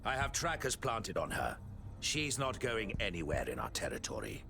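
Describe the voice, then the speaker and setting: A man's voice: lowish voice, sing-song, normal speed, gravelly. An actor playing a calculating male villain boss in a video game, coldly authoritative and scheming. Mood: smug command.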